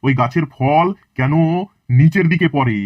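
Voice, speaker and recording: A man's voice: fairly high pitch, normal speed, dry recording, dull audio, lightly acted. A man voicing a sly cartoon schoolteacher who talks in a sweet, mock-kind way. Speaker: teacher. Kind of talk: cartoon voice acting.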